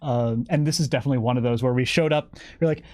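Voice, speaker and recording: A man speaking as a guest game developer in a casual interview, animated and jokey, thinking aloud and slipping into imagined dialogue. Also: medium pitch, fast talker, no room sound, clean studio sound. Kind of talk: lively casual interview chat.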